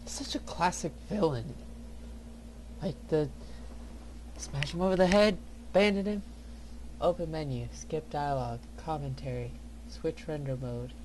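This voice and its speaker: Higher-pitched man muttering through a game. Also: a little slow, pauses often. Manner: muttering, reading menus aloud. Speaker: let's play host. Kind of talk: casual gaming commentary. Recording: quiet, noisy, distant mic. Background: clear background noise.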